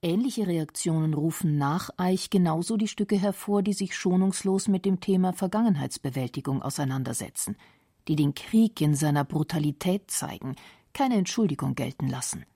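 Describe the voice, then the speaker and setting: A woman narrating a radio documentary script in a measured, explanatory manner. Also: lowish voice, expressive, dark tone, no room sound, clean studio sound.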